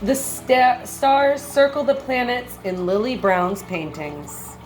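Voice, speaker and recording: A woman reading a children's picture book aloud like a teacher, with measured, expressive phrasing and brief comments. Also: fairly high pitch, rough recording.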